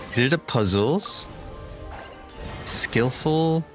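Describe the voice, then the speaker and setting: A man streaming a game, playful and giggly, thinking out loud as he goes. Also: fairly high pitch. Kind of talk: casual gameplay chat.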